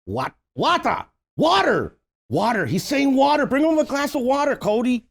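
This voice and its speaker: A man's voice: very high-pitched, very animated, a little slow, creaky, exaggerated voice. A man playing a brash, bossy Italian-Cuban buddy in a comedy table read, scolding and exclaiming. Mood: urgent.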